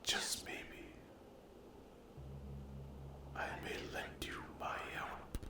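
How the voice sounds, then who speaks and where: Man as a very slow, whispering, menacing demon. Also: echoey room, natural voice. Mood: hungry, savoring. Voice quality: breathy whisper. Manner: very slow, deliberate, menacing, with long pauses. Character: sinister male sleep paralysis demon, menacing, taunting, hungry. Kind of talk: whispered ASMR roleplay.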